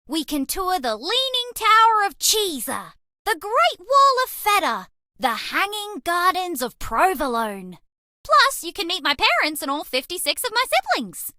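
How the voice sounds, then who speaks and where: A woman's voice: very high-pitched, very animated, a little slow, bright, forceful tone, dry recording, studio quality, heavily acted. A woman voicing a sweet, eager young female alien mouse in a dating game, bubbly, earnest and quirky. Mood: chirpy, playful. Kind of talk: dating game character voice lines.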